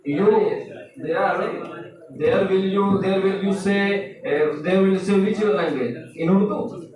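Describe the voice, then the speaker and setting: A man's voice: high-pitched, takes it slowly, a crowd in the background. A young man, a student in a classroom debate, speaking hesitantly with many fillers. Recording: echoey room, far from mic.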